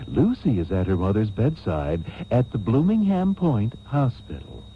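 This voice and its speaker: An actor playing a grandiose, unctuous radio soap host, narrating in a theatrical, drawn-out, mock-melodramatic way. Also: male voice, fairly low pitch, very animated, a little hiss or hum. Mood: mock-solemn. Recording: tinny old radio tape, music behind.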